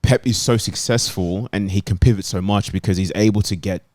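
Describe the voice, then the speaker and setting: A man's voice: fairly low pitch, a little fast, a little mellow, no room sound. A man in a casual panel chat, explaining his football views in a conversational, analytical way. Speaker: football panel show regular.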